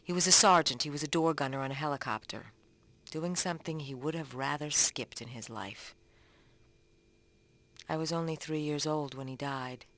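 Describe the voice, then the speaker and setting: A man's voice: on the high side, normal speed, bright, forceful tone. A man giving a dramatic reading as a bereaved relative, in plain, somber narration. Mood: insistent. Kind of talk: dramatic reading of a memorial testimony.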